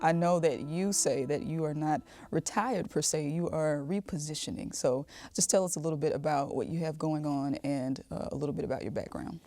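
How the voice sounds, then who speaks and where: A woman's voice: low-pitched, brisk, few pauses, dark tone, faint music behind. A woman hosting a podcast and interviewing guests conversationally. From Mississippi, United States.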